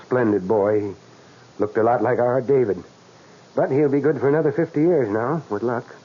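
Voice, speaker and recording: A man's voice: medium pitch, normal speed, natural voice. A man acting a tired, dedicated brain surgeon who is earnest and commanding, in a 1940s radio drama. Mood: weary. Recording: muffled, noisy 1940s radio recording.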